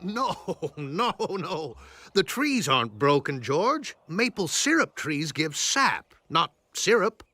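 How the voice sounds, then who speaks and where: A man's voice: fairly high pitch, sing-song, slow, bright, forceful tone, heavily acted. A man voicing a friendly, patient country syrup maker in a children's cartoon, explaining things with good humor. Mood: amused, helpful. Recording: music behind the voice.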